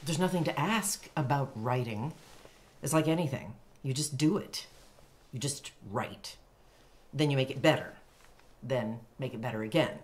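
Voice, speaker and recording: A solitary woman writer in a radio drama. Her voice is remarkably deep for a woman, and she speaks tersely and drily, with weary bluntness. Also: normal speed. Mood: blunt, emphatic. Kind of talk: naturalistic radio drama acting.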